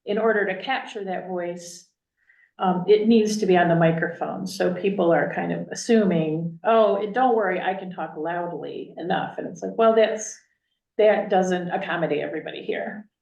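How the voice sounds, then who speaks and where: A woman's voice: on the low side, normal speed, vocal fry, a little mellow. A woman talking chattily in a church group discussion, with many fillers. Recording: roomy, dull sound.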